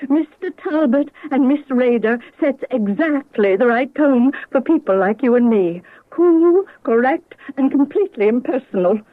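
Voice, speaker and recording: A woman's voice: high-pitched, expressive, normal speed, hardly any pauses, no echo. An actress playing a devoted, primly bitter secretary in her sixties, precise and pointed, in a radio drama. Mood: controlled, pointed. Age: 60s. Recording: dry, tinny old radio recording.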